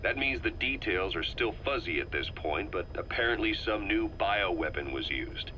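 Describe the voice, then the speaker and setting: A calculating spy giving a briefing. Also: male voice, fairly low pitch, expressive, bright, forceful tone, a little hiss or hum, low-quality recording. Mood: measured. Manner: measured, earnest, briefing tone. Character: shrewd male intelligence operative, calm and calculating. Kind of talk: video game cassette tape dialogue.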